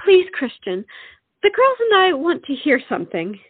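A woman's voice: high voice, wildly swooping pitch, normal speed, no room sound. A woman playing an earnest, romantic young lady who presses her point insistently in a stage comedy. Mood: coaxing. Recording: tinny, narrow-band sound.

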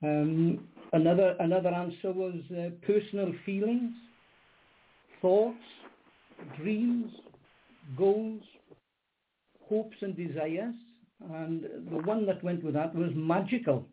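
A man's voice: high-pitched, slow pace, long pauses, a little hiss or hum. An older man, a guest poet in a radio interview, speaking hesitantly with many ums and repeated words. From Wales. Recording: narrow phone-line sound.

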